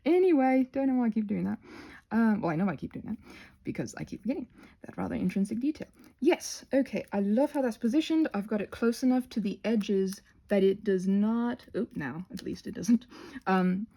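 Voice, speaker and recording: Female craft host, scattered and playful, lively swoops with creaky, slightly husky edges, casual thinking-aloud delivery. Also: mid-range voice, a little fast. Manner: playful, expressive exclamations. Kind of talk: rambling casual craft narration.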